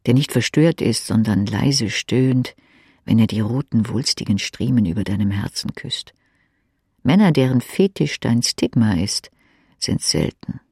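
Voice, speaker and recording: A man reading intimate second-person literary narration as an audiobook narrator, with a husky, creaky voice. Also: mid-range voice, animated, a little slow, a little mellow, no room sound, clean studio sound.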